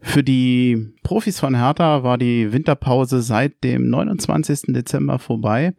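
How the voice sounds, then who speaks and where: A man's voice: medium pitch, measured pace, vocal fry, darkish tone, no echo, studio quality. A man hosting a podcast and chatting casually with guests on a call, asking them friendly questions. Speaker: podcast host.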